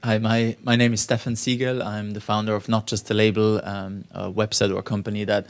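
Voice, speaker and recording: A man's voice: on the low side, flat delivery, a little fast, vocal fry. A man introducing himself as a company founder on a panel, in a careful delivery. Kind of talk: self-introduction.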